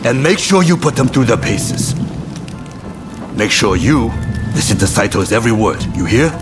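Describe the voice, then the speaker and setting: A man's voice: medium pitch, sing-song, normal speed, lightly acted. A man playing a hearty, blustering, teasing samurai captain in a video game cutscene, with music behind him. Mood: jovial, teasing.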